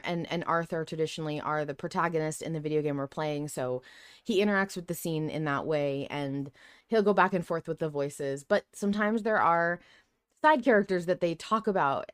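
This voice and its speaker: A woman podcast host in casual fan discussion, telling things in an animated way with quoted asides. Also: lowish voice, smooth, no room sound, clean studio sound.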